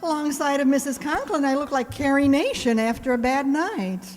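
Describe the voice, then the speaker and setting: An actress playing a wry, self-mocking schoolteacher, dry and wisecracking, in an old-time radio comedy. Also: female voice, high voice, lively intonation, moderate pace, faint music behind. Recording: reverberant hall sound.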